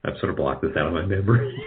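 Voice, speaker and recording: A man with a very low voice talks casually as an interview guest. He is modest about himself and wanders through restarts as he explains. The audio is dull and lo-fi. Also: background noise. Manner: self-deprecating, rambling with false starts. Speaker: guest, radio drama producer.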